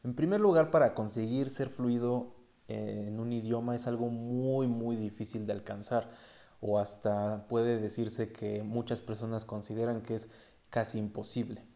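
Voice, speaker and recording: A man's voice: medium pitch, steady tone, moderate pace, mellow. Casual Mexican man hosting a podcast. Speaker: podcast host. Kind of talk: casual solo podcast talk.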